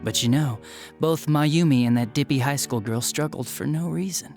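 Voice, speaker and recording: An actor playing a smug, spiteful young detective villain in a video game, with a sneering, flippant and theatrical manner. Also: male voice, a little high, moderate pace, vocal fry, a little mellow, faint music behind. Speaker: police detective. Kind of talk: dramatic video game voice acting.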